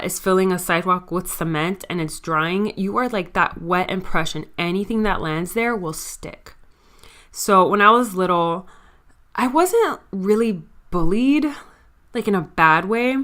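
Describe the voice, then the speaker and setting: A woman's voice: mid-range voice, a bit bright, clear, resonant tone. A woman in her twenties hosting her own podcast, talking candidly and confidingly to listeners in a casual monologue, with a slight stutter. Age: 20s. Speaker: podcast host.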